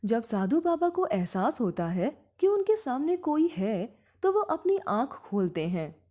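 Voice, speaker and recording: Female storyteller, unhurried and mellow, for scripted moral-tale narration. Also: a little high, big pitch swings.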